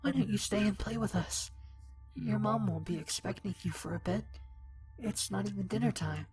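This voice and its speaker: A man's voice: sits high, moderate pace, darkish tone, faint music behind, pitched above the performer's own voice. A man voicing a possessed teenage boy turned monster, with an echoing, distorted and playful voice. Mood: sinister playful.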